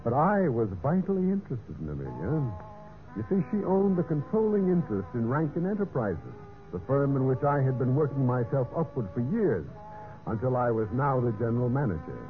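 Thin vintage radio sound, a man playing vain smooth-talking villain, smug, swooping intonation, radio drama. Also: mid-range voice, very animated, brisk, phone-quality sound, natural voice. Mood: smug narration. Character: vain, scheming male general manager confessing murder. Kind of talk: 1940s-50s radio drama acting, narration and dialogue. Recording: band-limited vintage radio, music behind.

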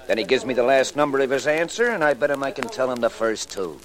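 A man plays a sly little grifter with a menacing edge in an old radio crime drama. His mid-pitched voice delivers streetwise slang. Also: lively intonation, fast talker, lightly acted, low-quality recording. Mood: smug. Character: sly, menacing small-time male betting hustler.

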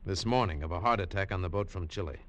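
A man's voice: low-pitched, fast, fairly bright tone. A man playing a tough American adventurer who narrates his own story with a terse, hard-boiled delivery in a 1950s radio drama. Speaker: narrator and lead.

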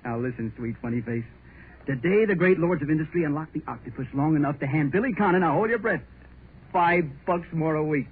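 Eager young American husband, boyish and gushing, slightly high voice, scratchy narrow-band 1930s radio drama. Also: male voice, animated, a little fast, slightly rough. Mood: excited. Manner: gushing, slangy, rambling.

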